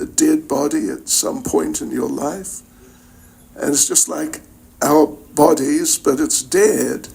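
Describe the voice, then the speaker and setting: An older man with a New Zealand accent gives an informal spiritual lecture in a halting, reflective, conversational way, checking in with his listeners. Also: a little high, vocal fry, a little mellow, husky. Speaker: spiritual teacher giving a lecture. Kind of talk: informal religious lecture to an audience.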